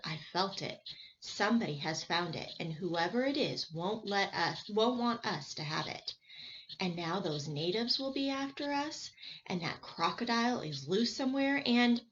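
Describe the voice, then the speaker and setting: A woman's voice: medium pitch, expressive, moderate pace, fairly bright tone, natural voice. An anxious but determined young girl in a storybook adventure, read by a woman. The recording is muffled, with some background noise. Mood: worried, upset.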